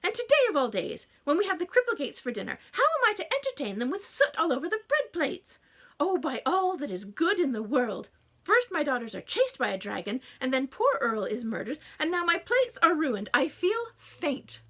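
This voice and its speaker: Loud high shrill mother figure, wailing and theatrical, storybook reading, voiced by a woman. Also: big pitch swings, brisk, exaggerated voice. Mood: wailing, dramatic. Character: dramatic fretful middle-aged mother, wailing.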